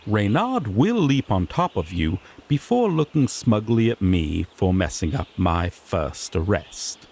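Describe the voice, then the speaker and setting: A man's voice: lowish voice, big pitch swings, a little slow, hardly any pauses, a little mellow, husky, exaggerated voice. A man playing a sassy griffon knight in a fantasy audio drama, an earnest rookie lawman who explains things formally with mild exasperation. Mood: exasperated.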